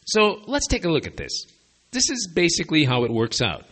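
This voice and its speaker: A man's voice: on the high side, huge pitch swings, a little fast, a bit bright. A man from the United States with a slight lisp, giving opinionated, digressive commentary as a podcast host on makeshift equipment away from his usual studio. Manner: sardonic, digressive, conversational. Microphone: makeshift borrowed equipment.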